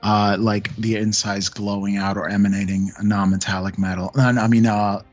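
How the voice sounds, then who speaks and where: A man guest on a hobby podcast, telling stories in a relaxed, laid-back way and laughing often. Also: fairly low pitch, moderate pace, faint music behind. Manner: storytelling, laughs often, acts out quotes. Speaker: podcast guest, painting channel creator. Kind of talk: casual podcast chat.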